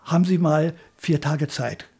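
Creaky, husky older man reminiscing. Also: a little high, a little slow, a little mellow. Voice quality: raspy, creaky. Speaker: radio drama director. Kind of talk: reminiscing in an interview.

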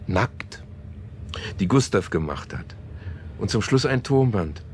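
Halting storyteller, low male voice, conversational radio play, self-correcting, slightly noisy recording. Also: lively intonation, a little mellow. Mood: absorbed storytelling. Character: man haltingly retelling a crime novel to his partner.

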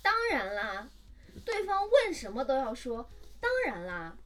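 A woman with a high, very animated voice co-hosts a casual chat. She sounds playful as she explains things. She is slightly distant from the mic. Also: a little slow. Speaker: co-host.

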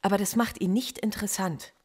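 An actress playing a philosophy professor under interrogation in a radio play, curt and defiant. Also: female voice, medium pitch, fairly even intonation, fairly quick, darkish tone, slightly rough, lightly acted.

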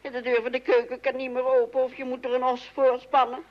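Woman doing fed-up complaining mum in old radio comedy, highish voice, muffled sound. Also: monotone, fairly quick, slight background noise, natural voice. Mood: plaintive. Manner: plaintive, dramatic. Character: put-upon, complaining mother of a crumbling household. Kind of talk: old-fashioned Dutch radio comedy acting. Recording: dull, band-limited old radio recording.

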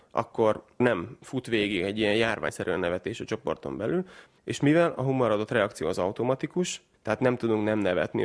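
Gravelly low-voiced man explaining. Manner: measured, academic explaining. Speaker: researcher guest. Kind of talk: explanatory interview answers.

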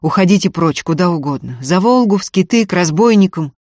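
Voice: mid-range voice, animated, fairly quick, a little mellow, no echo, pitched above the performer's own voice, close to an everyday voice. A narrator playing a grave, guilt-ridden but firm landowner in a dramatic audiobook. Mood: heavy, resolute. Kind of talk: dramatic audiobook character reading.

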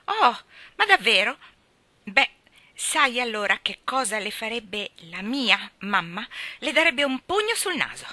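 A woman's voice: fairly high pitch, big pitch swings, unhurried, sharp tone, dry recording, voice pushed up, heavily acted. Woman playing a hot-tempered, blustering little boy. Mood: furious, threatening. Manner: blustering, threatening.

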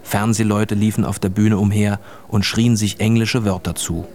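A man's voice: on the low side, fairly even intonation, moderate pace, close to an everyday voice. A man narrating a children's radio play as a plain storyteller, reading a scripted tale.

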